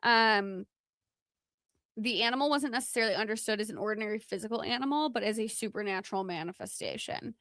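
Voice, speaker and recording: A woman's voice: on the high side, quick pace, fairly bright tone, no echo, studio quality. Chatty woman co-hosting a podcast. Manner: informal, chatty. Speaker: podcast co-host. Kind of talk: casual podcast chat explaining folklore from notes.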